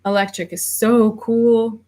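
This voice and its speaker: Slow-talking woman in her thirties. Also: on the high side, little pitch movement, warm tone. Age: 30s. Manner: rambling and meandering, reads viewer comments aloud. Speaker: livestream host. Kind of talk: casual livestream chat.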